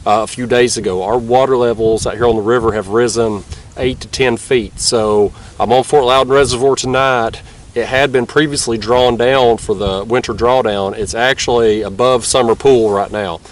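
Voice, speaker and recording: A laid-back young man with a stuffy, nasal voice, talking easily in a relaxed drawl. He sits a little back from the camera mic outdoors at night, with a faint hiss of river ambience behind him. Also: medium pitch, far from the mic. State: nasal from a cold. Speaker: fishing vlogger. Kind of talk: casual outdoor vlog narration.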